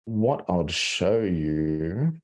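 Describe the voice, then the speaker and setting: A deep-voiced Australian man presents online in a relaxed, warm tone. He pauses, restarts and fills gaps as he explains, casual rather than polished. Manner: hesitant, full of restarts and fillers. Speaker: webinar presenter. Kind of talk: conversational webinar presentation.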